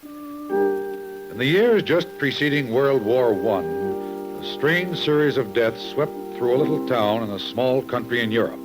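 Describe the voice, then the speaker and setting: A man's voice: medium pitch, wildly swooping pitch, measured pace, poor audio. A man narrating a true crime case in a serious, formal 1950s radio style. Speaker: magazine editor, narrator host. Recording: vintage radio recording with music. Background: music.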